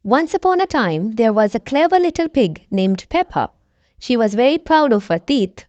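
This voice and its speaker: A woman's voice: fairly high pitch, very animated, moderate pace, darkish tone, dry recording, lo-fi sound. A woman reading a children's bedtime story aloud as a storyteller.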